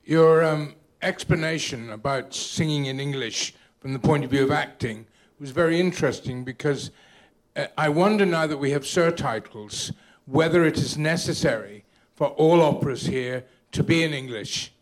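A man's voice: on the high side, moderate pace. A man in the audience asking a question politely and tentatively. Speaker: audience member.

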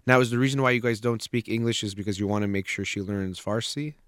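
A man acting as a counselor, calmly advising a parent on their child, talking in a measured way with many fillers. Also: fairly low pitch, no room sound, clean studio sound. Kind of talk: consultation with a parent.

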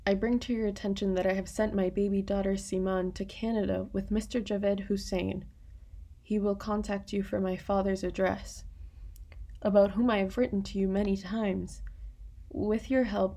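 An actress playing a hesitant, wounded granddaughter in a radio play, careful and emotionally restrained. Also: female voice, medium pitch, warm tone, lightly acted. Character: hesitant, wounded granddaughter, reading old letters aloud tenderly.

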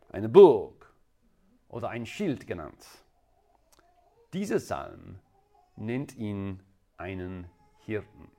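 A man from England with an English accent, a native English speaker preaching as a guest in halting, deliberate non-native speech. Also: lowish voice, a little mellow. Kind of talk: sermon.

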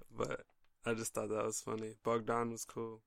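A man's voice: lowish voice, gravelly, no room sound. A man telling a story in a casual, chatty way, full of fillers, while interviewing a guest. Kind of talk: casual chat.

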